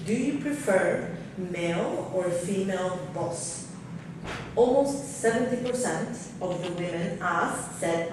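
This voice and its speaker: A woman from Norway speaking on a seminar panel, candid and thinking aloud with fillers, in a large hotel conference room. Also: lowish voice. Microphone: table mic heard from far off. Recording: very echoey room, far from the mic, noisy.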